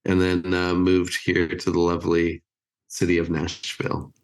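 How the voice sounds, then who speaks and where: Low, creaky-voiced American man in his fifties. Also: completely flat delivery, moderate pace, poor audio. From California, United States. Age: 50s. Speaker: interview guest, creative agency chief. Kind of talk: casual interview chat.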